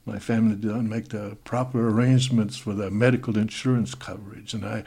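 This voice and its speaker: A man playing a halting Spanish student. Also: fairly low pitch, fairly even intonation, dark tone, slightly rough. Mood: tired. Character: young male Spanish exchange student, formal, awkward.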